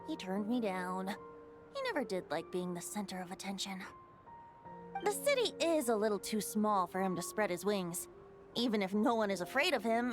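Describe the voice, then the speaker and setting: A woman's voice: mid-range voice, lightly acted. A woman voicing a carefree young bard deity in a video game, with a playful, wry, theatrical delivery. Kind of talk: scripted video game voice acting.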